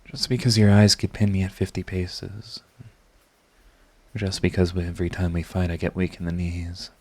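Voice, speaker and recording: A man playing a proud yet tender, lovestruck villain in a romantic ASMR audio roleplay, speaking in a halting, intimate, earnest way. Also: low voice, little pitch movement, darkish tone. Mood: flustered, yearning.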